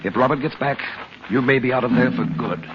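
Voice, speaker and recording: An actor playing a protective, earnest middle-aged hero in an old-time radio serial. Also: male voice, medium pitch, fairly quick, over loud music, natural voice. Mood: gentle reassurance. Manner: earnest, controlled. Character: steady, protective hero reassuring a frightened woman. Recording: muffled vintage radio recording.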